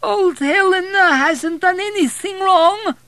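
A man's voice: extremely high pitch, a little slow, sharp tone, no room sound, pitched above the performer's own voice, heavily acted. A man narrating an audiobook, voicing a querulous, whining, frail old woman with a thin, cracked, quavering voice. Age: elderly. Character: querulous, toothless, frail old Polish peasant woman, suspicious.

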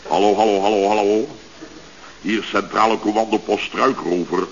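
A man's voice: fairly low pitch, fairly even intonation, normal speed, creaky. An actor playing a bumbling, self-important male contractor boss in a radio comedy, stammering and repeating himself as he gives orders. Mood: pompous, plotting. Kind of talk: 1970s Dutch radio comedy serial acting. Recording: dull, noisy vintage radio recording.

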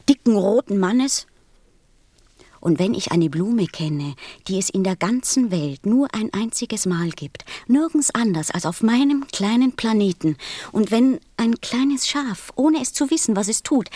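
A woman's voice: on the high side, darkish tone, no room sound. A woman playing a sensitive, earnest little boy prince in a radio play, speaking with insistence. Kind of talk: German radio play acting.

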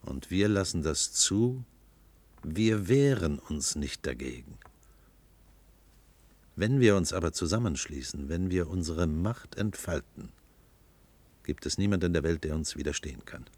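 An actor playing a fanatical demagogue in a German radio play, oratorical and grandiose, stirring oppressed workers to revolt with emphatic, stretched stresses. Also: male voice, sits low, a bit bright, no echo, heavily acted. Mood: menacing, rallying.

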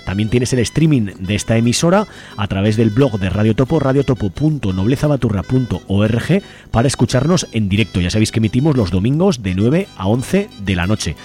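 Male community radio presenter, Spain accent, lower-pitched voice over a light music bed. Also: animated, a little fast, a little mellow. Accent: Spanish from Spain. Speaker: radio host.

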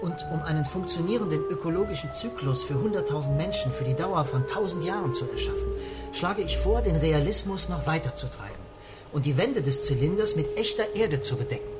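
Radio play: sceptical, inventive male scientist, played by an actor, fairly high voice, excitable, thinking aloud. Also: fairly quick, loud music behind the voice, lightly acted. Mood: eager explaining. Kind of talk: German radio play acting.

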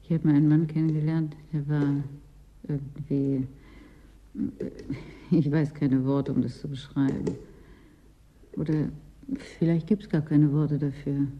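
A woman playing a weary, brooding office superior in a German radio drama, speaking pensively and haltingly. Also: low-pitched, lo-fi sound, exaggerated voice.

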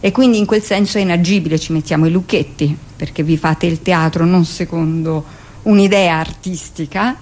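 A woman with a slightly low voice talks as a theatre guest on radio. She explains things conversationally, often interrupting herself with asides and digressions. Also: moderate pace, a little mellow, a little hiss or hum.

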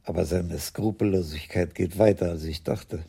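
An actor playing a shrewd, sober senior police commissioner in a 1980s German radio crime play. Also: male voice, low-pitched, moderate pace, vocal fry, dark tone, breathy edge, full character voice. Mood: pensive.

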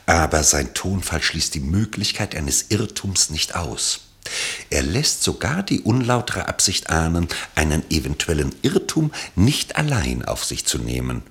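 Narrator for radio drama: male, low and heavily breathy-rough, measured scripted storytelling with a dry irony. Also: fairly bright tone.

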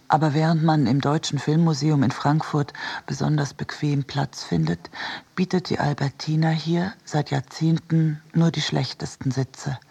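A man reading as a reflective, wry essayist in a measured, precise literary radio narration. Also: medium pitch, darkish tone. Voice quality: husky, creaky. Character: reflective essayist and cinema-goer, wryly critical.

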